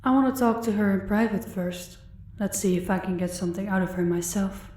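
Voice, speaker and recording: A woman's voice: medium pitch, a little mellow, far from the mic, close to an everyday voice. Echoey, coolly sadistic female crime boss, acted by a woman. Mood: composed, courteous. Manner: condescending, measured, polite menace. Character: sadistic female crime boss, menacing, calmly toying with captive. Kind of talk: audio roleplay acting. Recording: echoey room, away from the mic.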